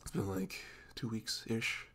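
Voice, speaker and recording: A man playing a sweet, goofy, self-conscious young boyfriend in an intimate ASMR roleplay, speaking in a halting, casual, reassuring way. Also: lowish voice, level tone, a bit bright. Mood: earnest, reassuring.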